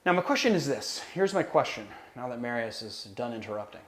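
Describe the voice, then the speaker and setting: A man with a loud, resonant voice hosting a cocktail livestream, chatting casually and answering viewer questions. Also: mid-range voice, sing-song, a little fast, slight background noise. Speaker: cocktail channel host.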